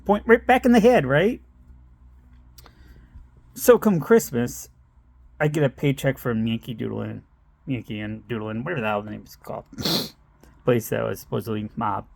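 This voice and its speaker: Gravelly middle-aged man, rambling storyteller. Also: medium pitch, expressive, moderate pace, long pauses, slight background noise. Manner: meandering, self-interrupting, laughs at himself. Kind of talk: rambling personal anecdote.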